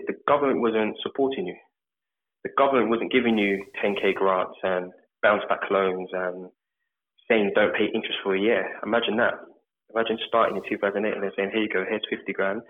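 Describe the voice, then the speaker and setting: A man from England with a London accent, a mortgage advisor answering interview questions in a relaxed way, chaining thoughts with lots of fillers. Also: lowish voice, a little hiss or hum. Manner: relaxed, talks in long run-on chains with fillers. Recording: tinny phone-like line.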